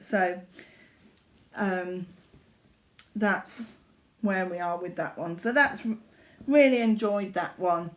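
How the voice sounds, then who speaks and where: An older woman from Yorkshire chatting casually at home about her needlework, rambling, with lots of fillers and self-corrections. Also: mid-range voice. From Yorkshire, England. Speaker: craft vlogger. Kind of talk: casual chat showing needlework.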